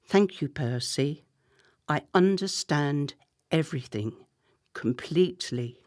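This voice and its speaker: A woman acting a discreet, ambitious campaign manager in a radio play, controlled, deferential and deliberate. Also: low-pitched, animated, darkish tone. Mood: awed, hushed.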